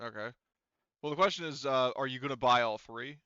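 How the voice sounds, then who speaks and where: A man acts as game master in a casual online tabletop session. His voice is smooth and mid-pitched, recorded dry but a little dull, and he works through numbers haltingly, thinking out loud. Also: no echo. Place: remote online voice call.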